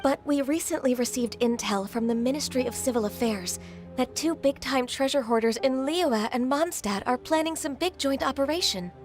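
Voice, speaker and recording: A woman's voice: high-pitched, normal speed, close to an everyday voice. An actress playing a businesslike young woman giving a matter-of-fact briefing in a video game. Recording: game audio with music.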